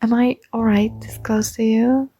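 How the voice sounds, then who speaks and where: A young woman playing a shy, smitten student in an intimate ASMR roleplay, speaking softly, hesitantly and tenderly, with some breathiness. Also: on the high side, little pitch movement, measured pace, faint music behind, close to an everyday voice. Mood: tender, playful.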